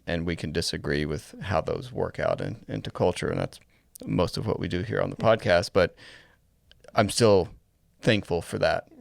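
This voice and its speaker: A man co-hosting a podcast, giving casual, reflective commentary in measured phrases. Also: deep voice, creaky, no echo, studio quality. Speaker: podcast co-host.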